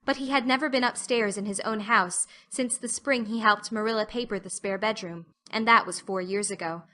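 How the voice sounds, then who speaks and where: A woman's voice: mid-range voice, bright, forceful tone. A woman reading scripted audiobook narration of a story as its narrator.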